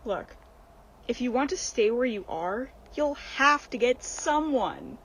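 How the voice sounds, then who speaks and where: A sly, bossy temptress spirit, acted by a woman, in a radio horror play. Her fairly high voice swings widely and stretches words out slowly, emphatic and coaxing. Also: animated, fairly bright tone, a little hiss or hum, exaggerated voice, rough recording. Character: sly, bossy seductive female spirit, a frank friend. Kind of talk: radio horror drama acting.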